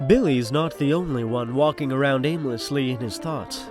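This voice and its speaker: A man's voice: medium pitch, normal speed, loud music behind the voice. A man giving wry, dramatic scripted narration with storytelling asides to the listener.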